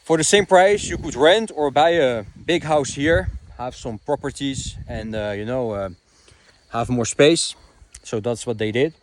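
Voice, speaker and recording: A man's voice: medium pitch, unhurried, a little hiss or hum. A young Dutch-born man whose first language is Dutch, talking casually to camera outdoors in the countryside and often dropping in "you know" and "uh". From Netherlands. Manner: conversational, frequent fillers like "you know" and "uh". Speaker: vlogger.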